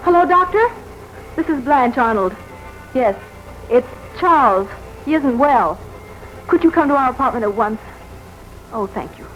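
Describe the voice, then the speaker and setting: An anxious, solicitous wife in a 1940s radio drama, with a high, lively voice. She sounds theatrical and concerned on a muffled vintage recording. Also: measured pace, long pauses, soft background music.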